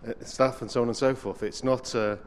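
A man raising a question from the floor at a technical meeting, halting and restarting his phrases. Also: mid-range voice, steady tone, big-room sound, a little hiss or hum. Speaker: meeting participant asking a question. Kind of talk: open-floor discussion.

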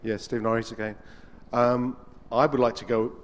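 A man raising a question from the floor at a technical meeting, halting and restarting his phrases. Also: medium pitch, little pitch movement, long reverb tail. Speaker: meeting participant asking a question. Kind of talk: open-floor discussion.

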